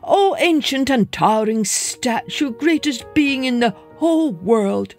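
A man's voice: very high-pitched, lively intonation, measured pace, faint music behind, pitched above the performer's own voice, exaggerated voice. A man voicing a small, proud father mole who pleads formally and eagerly. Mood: pleading.

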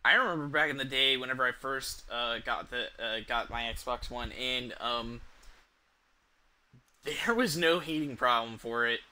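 A young man chatting casually on a game livestream, halting and hesitant, with a sweary, offhand way of talking. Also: mid-range voice, sharp tone. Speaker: video game streamer. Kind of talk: casual livestream chat over gameplay. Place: small indoor room at home.

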